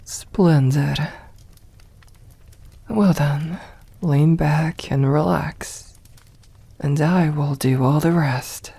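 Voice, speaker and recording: A woman voicing a seductive, possessive vampire mistress in an ASMR roleplay, speaking formally and coaxingly in a breathy, often whispered voice. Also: deep voice, warm tone, full character voice. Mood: pleased, commanding. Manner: slow, formal, coaxing endearments.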